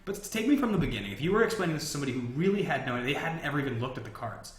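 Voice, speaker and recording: Man, podcast host, rapid rambling chat, medium pitch, informal, roomy echo. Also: sing-song. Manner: rambling, informal, talks in loops with many "like"s. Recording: roomy, echoey sound.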